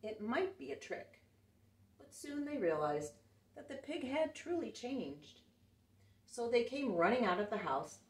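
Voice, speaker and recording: Woman reading a kids book out loud, lively up and down voice, roomy echo, bit far from the mic. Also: a little high, measured pace. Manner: animated, expressive read-aloud. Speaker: children's storyteller. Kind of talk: reading a picture book aloud to children.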